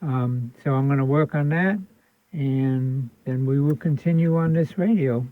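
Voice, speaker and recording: A man's voice: medium pitch, unhurried, no room sound. A man casually explaining a repair at his workbench, rambling with frequent ums and restarts. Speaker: radio restoration hobbyist host. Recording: tinny phone-like sound.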